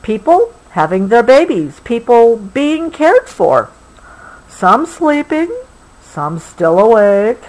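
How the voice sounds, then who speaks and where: A woman reads a picture book aloud to a child, gently adding explanations as she goes. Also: a little high, sing-song, takes it slowly, low-quality recording. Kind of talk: read-aloud storybook with explanatory asides.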